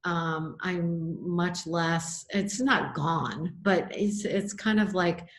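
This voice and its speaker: Middle-aged woman podcast co-host, lower voice, reflective and chatty, quick laughs. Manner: thoughtful, musing, laughs often. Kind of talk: casual reflective chat.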